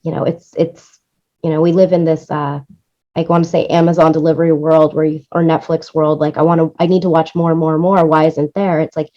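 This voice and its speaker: A woman's voice: deep voice, little pitch movement, a little fast, warm tone, muffled recording. A woman speaking as an interview guest, explaining things earnestly in a casual conversational style and often checking in with "right?". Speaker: interview guest, music supervisor and producer.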